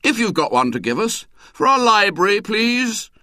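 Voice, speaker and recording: A man voicing a bold, polite schoolboy asking firmly and brightly. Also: high voice, big pitch swings, moderate pace, dry recording, clean studio sound, voice pushed up, full character voice. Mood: earnest. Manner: firm, bright.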